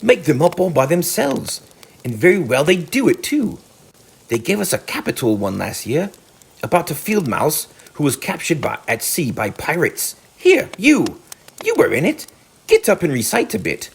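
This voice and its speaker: Man doing an eager, excitable storybook mole. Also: on the high side, moderate pace, vocal fry, slightly rough, pitched above the performer's own voice, full character voice. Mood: proud.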